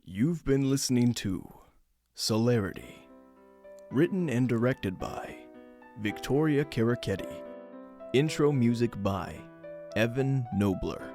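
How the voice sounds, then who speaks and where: Guy reading drama credits slow, faint music under, a bit off mic. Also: medium pitch, lively intonation, mellow. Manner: slow, deliberate. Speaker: credits announcer. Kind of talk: scripted credits read.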